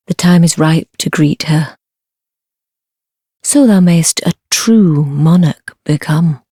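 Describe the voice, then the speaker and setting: A woman playing a sly, devoted noblewoman in a dark fantasy game, speaking formal archaic English in a low, breathy, measured voice. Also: dry recording, studio quality, heavily acted. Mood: pleased, courtly. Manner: measured, formal archaic speech, deferential yet commanding. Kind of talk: fantasy video game acting.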